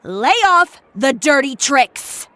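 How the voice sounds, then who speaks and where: A woman's voice: high voice, sing-song, slow pace, pushed and bright, a little hiss or hum, exaggerated voice. An actress voicing a proud, protective young woman fashion designer in an anime game, speaking with cool, haughty, dry sarcasm. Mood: scornful, threatening. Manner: cool, haughty, dryly sardonic. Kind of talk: English anime game voice acting.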